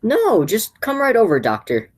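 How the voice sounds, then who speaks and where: A woman playing an excitable, flustered young man in a radio play, with a husky voice and a stammer. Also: fairly high pitch, moderate pace, full character voice. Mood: urgent, flustered.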